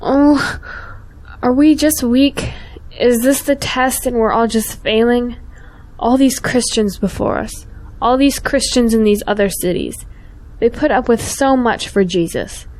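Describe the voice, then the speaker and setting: A woman's voice: on the high side, animated, measured pace, a little mellow, poor audio. Earnest young woman, devout, troubled conscience, insistent, audio drama dialogue, acted by a woman. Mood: dismayed, searching.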